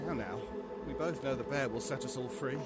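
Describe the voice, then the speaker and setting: A man's voice: mid-range voice, brisk, natural voice. A man playing a courteous, reluctant man-eating henchman with a mild, hesitant manner in an audio drama. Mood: uneasy. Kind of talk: dramatic audio fiction acting. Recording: loud music under the voice.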